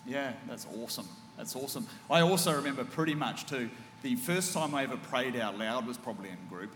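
A man with a big booming voice leads a casual church panel, full of enthusiastic affirmations. His words ring through a large echoey hall with long reverb, and a faint hum sits underneath. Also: lively intonation, brisk, fairly bright tone. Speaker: church pastor hosting a panel.

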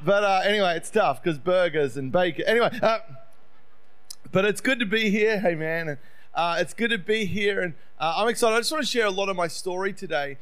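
A man's voice: high voice, brisk, a bit bright, clear, resonant tone. A middle-aged Australian man preaching as a visiting speaker, telling his personal story in a conversational, self-deprecating way. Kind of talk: sermon, personal testimony.

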